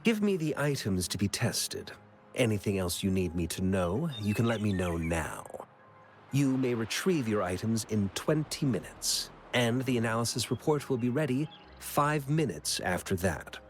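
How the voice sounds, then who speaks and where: A man's voice: fairly low pitch, very animated, normal speed, soft background music. An actor playing a matter-of-fact male laboratory technician in a video game, with a procedural manner. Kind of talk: English video game voice acting.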